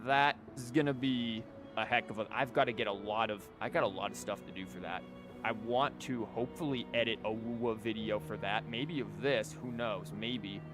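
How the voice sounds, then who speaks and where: A man's voice: lowish voice, moderate pace, over music. A man doing casual live game commentary as a streamer, rambling and wry. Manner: wry, rambling, mock-quoting. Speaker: game livestreamer.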